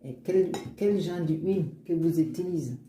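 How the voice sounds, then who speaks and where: A woman's voice: lowish voice, moderate pace, warm tone. A woman showing her homemade oils in a casual vlog, earnest and upbeat, with frequent restarts. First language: African language. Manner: earnest, upbeat, speaks of faith, many restarts. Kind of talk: casual show-and-tell, switching between English and French. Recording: echoey room with faint ambient noise.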